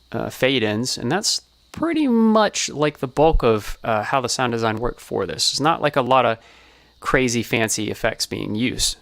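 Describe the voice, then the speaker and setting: A man presenting an informal behind-the-scenes explainer as a sound designer, speaking in a casual, halting way. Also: mid-range voice, fairly bright tone, no echo.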